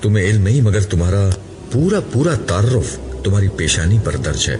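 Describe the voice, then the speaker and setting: A man voicing a calm, amused afterlife guide in an audiobook, assured and explanatory. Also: on the low side, moderate pace. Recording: clearly noisy recording.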